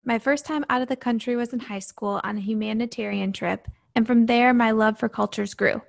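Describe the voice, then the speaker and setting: Woman host from michigan, upbeat and earnest, bit of vocal fry, pitched a little high. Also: fairly even intonation, a little fast. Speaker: podcast host. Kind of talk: reading a prepared intro, then interviewing.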